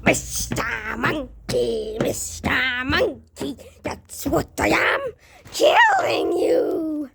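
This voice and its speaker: A woman voicing the menacing evil side of a toy monkey in amateur improvised play-acting, slow, gloating and creaky. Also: sits high, huge pitch swings, a bit bright, slight background noise, exaggerated voice.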